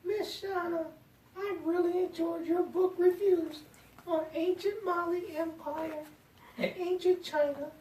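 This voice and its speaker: A woman voicing a polite, eager little boy puppet sidekick who speaks slowly and carefully. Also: very high voice, fairly even intonation, warm tone, voice pushed up. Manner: slow, careful, polite speech. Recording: roomy, distant, noisy pickup.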